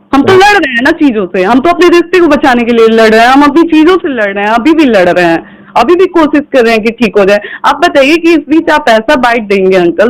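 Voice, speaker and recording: A woman's voice: high-pitched, low-quality recording. A young woman from the pleads insistently in a recorded phone conversation, repeating her points. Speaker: caller in a personal dispute.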